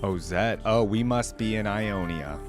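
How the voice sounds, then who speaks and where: Low-voiced man reacting to game videos. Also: unhurried, music in the background. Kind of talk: casual reaction commentary.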